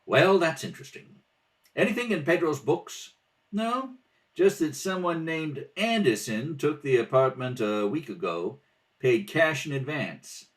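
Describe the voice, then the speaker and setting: Animated man reading a radio play. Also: fairly high pitch, measured pace. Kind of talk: radio play rehearsal read-through, reading several parts. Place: at home, at a kitchen table.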